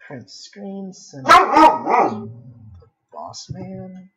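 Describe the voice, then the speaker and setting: Guy streaming his drawing, kinda slow n raspy, mumbles to himself, muffled lo-fi audio. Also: sits high, huge pitch swings, bright, forceful tone, a little hiss or hum. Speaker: livestreaming artist and host. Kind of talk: casual livestream chat while sketching. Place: at home with a dog nearby. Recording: dull lo-fi home stream audio.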